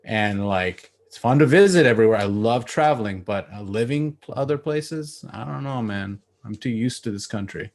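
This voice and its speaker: A man in his late thirties, born in Bangladesh, chatting casually as a podcast guest over a video call, relaxed and laughing, with lots of fillers. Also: medium pitch, normal speed, hardly any pauses. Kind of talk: casual interview chat.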